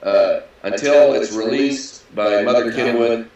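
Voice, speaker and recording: A man in his sixties with a bassy, raspy voice, talking casually and hesitantly with many fillers as an interview guest. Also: measured pace, a little mellow, highs cut off. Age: 60s. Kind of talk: casual interview over a video call. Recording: echoey audio captured from a PC.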